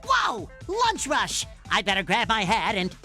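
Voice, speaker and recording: A woman voicing a cheerful, eager, naive young male sponge in a cartoon game, excitable and earnest. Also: a little high, measured pace, soft background music, exaggerated voice. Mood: excited.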